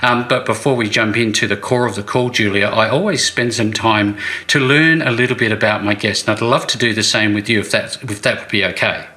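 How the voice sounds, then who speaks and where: A man's voice: on the low side, fairly even intonation, a little fast, few pauses, bright, forceful tone. A man hosting a business interview show in an upbeat, conversational style.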